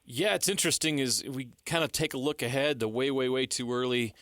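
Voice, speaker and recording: A man's voice: mid-range voice, brisk, fairly bright tone, no echo. Male sports radio host, dry studio sound. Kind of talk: conversational sports talk interviewing. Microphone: close studio microphone.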